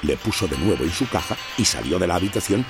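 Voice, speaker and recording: A man narrating a children's storybook with expressive storytelling emphasis. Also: low voice, fast. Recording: music playing under the voice.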